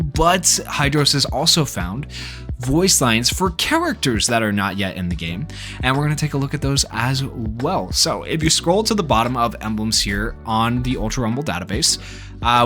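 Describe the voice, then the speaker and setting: A man's voice: mid-range voice, a bit bright. A man speaking off the cuff as a gaming YouTuber, giving informal, rambling commentary on a game. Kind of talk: casual video commentary on a game. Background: background music.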